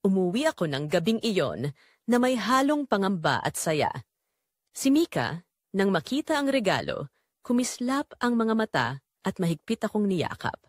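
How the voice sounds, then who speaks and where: A woman's voice: mid-range voice, sing-song, moderate pace, no room sound, clean studio sound. A woman narrating a first-person dramatic story in an emotive, sentimental way.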